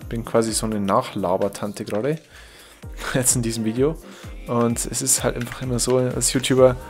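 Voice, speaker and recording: A young man from Germany, a gaming YouTuber chatting casually over his gameplay, rambling and correcting himself, reacting loudly to the game. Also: medium pitch, little pitch movement, moderate pace, soft background music.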